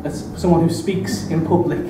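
A man's voice: on the high side, fairly even intonation, brisk. An eighteen-year-old man doing a stand-up set, who stutters and repeats words, nervous and self-mocking, chuckling at his own jokes. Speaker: stand-up comedian. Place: small dark club stage. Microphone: handheld mic, filmed from far in the room. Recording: echoey club room taped from far off, loud room noise.

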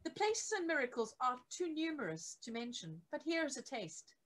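A middle-aged woman reading a biography aloud as scripted narration, measured and with emphasis on key phrases. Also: fairly high pitch. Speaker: reader of a church history book. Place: attic home office, indoors.

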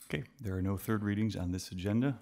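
A man's voice: on the low side, fast, creaky, darkish tone. A man formally chairing a public meeting, speaking procedurally into a microphone in a council chamber. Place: mid-sized council chamber indoors.

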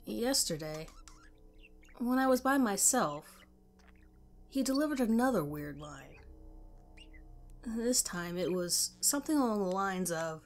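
A woman's voice: medium pitch, lively intonation, unhurried, long pauses, faint music behind. A woman reading a scripted first-person story as a narrator.

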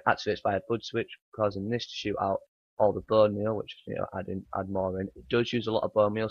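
A man with a croaky morning voice, rambling through casual gameplay commentary with many ums and uhs. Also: on the low side, no echo. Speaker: gaming channel host. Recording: dry, dull lo-fi home mic.

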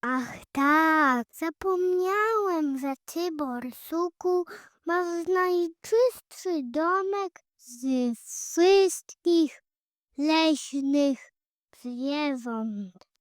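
A woman voicing an eager, chatty little squirrel boy with an excited, childlike manner in a children's audio fairy tale. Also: extremely high pitch, drawn-out words, dry recording, clean studio sound, voice pushed up, heavily acted. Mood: friendly.

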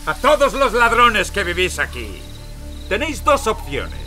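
Villain sheriff: high, wildly swooping, theatrical taunts, audio drama over music. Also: male voice, huge pitch swings, measured pace, bright, forceful tone, exaggerated voice. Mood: menacing. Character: gloating, sneering villain sheriff hunting outlaws. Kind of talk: dramatized audio fiction acting.

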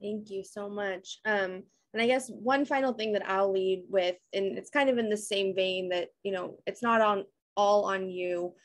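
A woman's voice: mid-range voice, fairly even intonation, moderate pace. Reassuring woman moderator. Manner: friendly, reassuring, casual. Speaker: panel moderator, civic engagement director. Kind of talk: panel discussion over video call. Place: indoor room on video call.

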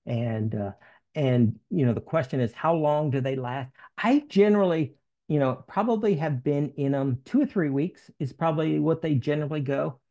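Gravelly, mellow older American man presenting. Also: a little high, lo-fi sound. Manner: halting, conversational, frequent you-knows. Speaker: options trading meetup presenter. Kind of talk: informal webinar teaching with slides and screen share. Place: home office room. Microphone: headset.

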